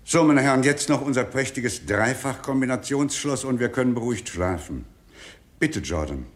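An actor playing a formal, smoothly polite company boss in a German radio crime comedy. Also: male voice, mid-range voice, animated, normal speed, lightly acted. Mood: brisk, satisfied. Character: businessman boss, formal company partner dismissing an employee.

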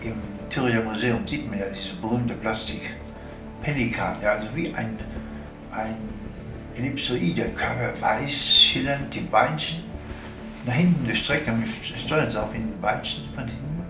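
A man's voice: mid-range voice, a little slow, rough recording. Older seafaring man reminiscing, music behind. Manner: discursive, marvelling, vividly descriptive. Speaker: former seaman recalling his voyages. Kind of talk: spontaneous spoken reminiscence in a radio feature.

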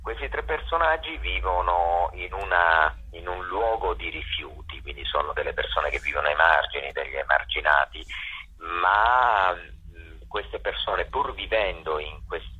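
Man phone interview, super deep raspy voice, muffled line, halting and repeats himself. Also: sharp tone. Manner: halting, searching for words, repeating phrases. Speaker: interview guest, playwright and actor. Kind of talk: phone interview, explaining his work.

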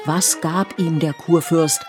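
A woman's voice: low-pitched, fairly even intonation. Woman narrating a radio play over music. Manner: measured, poetic storytelling.

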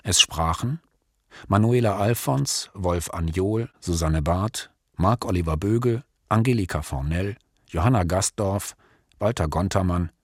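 A man's voice: sits low, a little slow, dry recording, studio quality. A man reading out the scripted credits of a radio play as its announcer.

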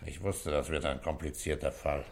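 Deep-voiced, commanding police commissioner. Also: male voice, fairly even intonation, normal speed, vocal fry. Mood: brisk, commanding. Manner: terse, questioning, commanding. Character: calm, authoritative male Paris police commissioner, gruff and probing. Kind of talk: radio play acting.

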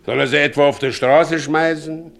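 An actor playing a gruff, stubborn village husband in a German radio play, blunt and earthy, speaking in dialect. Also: male voice, a little high, steady tone, moderate pace. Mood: matter-of-fact.

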